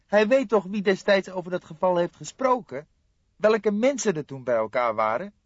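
A man voicing an agitated, eager young police detective in an audiobook, with excitable, emphatic delivery. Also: high voice, moderate pace, dry recording, highs cut off, pitched above the performer's own voice. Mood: pressing.

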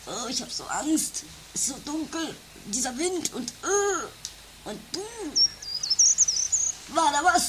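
A woman's voice: high voice, big pitch swings, slow, pauses often, background noise, heavily acted. A woman acting a timid runaway schoolboy in an improvised radio play, hesitant and stammering. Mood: scared, muttering. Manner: hesitant, stammering, muttering to self. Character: timid, scared runaway schoolboy alone in dark woods.

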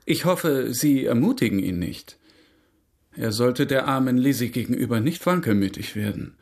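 An actor playing a courteous, inquisitive gentleman suitor in a German radio drama, formal and gently probing. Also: mid-range voice, expressive, moderate pace, creaky. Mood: wry concern.

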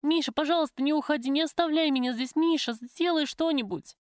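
A woman's voice: animated, a little fast, no room sound, clean studio sound, lightly acted. A woman voicing a desperate, bitter young woman in prison, emotional and pleading, in an audiobook. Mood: frantic pleading. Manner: emotional, pleading, high-strung.

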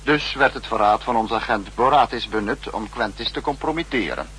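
A man's voice: mid-range voice, normal speed, a bit bright, natural voice. A man acting a stern, analytical state leader in a 1960s radio drama, speaking in a formal, lecturing manner. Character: stern, analytical spy-state leader reproaching his subordinate. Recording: noisy, humming old radio recording.

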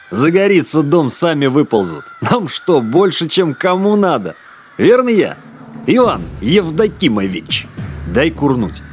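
An actor playing a short, sly wartime soldier in a radio drama, drawling and pleading in a wheedling way. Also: male voice, fairly high pitch, very animated, slow, soft background music. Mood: sly, defensive.

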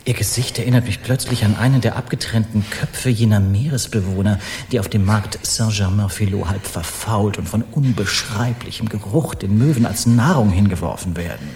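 An actor playing a brazen, eloquent Parisian artist in a German radio crime play, theatrical and mocking in manner. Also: male voice, fairly low pitch, moderate pace, a little mellow, slight background noise, close to an everyday voice. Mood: mocking, insinuating.

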